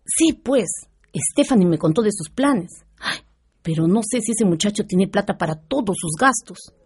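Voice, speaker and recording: An actress with a Peruvian accent playing a prudent, firm wife guarding the household money in a radio drama. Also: female voice, mid-range voice, animated, normal speed, darkish tone, slightly rough. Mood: firm, worried. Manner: practical, firm.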